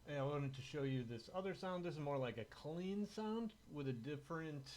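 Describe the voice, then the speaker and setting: A man's voice: on the high side, animated, normal speed, darkish tone, a little hiss or hum. A man chatting casually and with slangy, sweary informality as he demonstrates a guitar and production setup. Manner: slangy and sweary, laid-back bro talk. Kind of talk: casual chat, informal music tech demo.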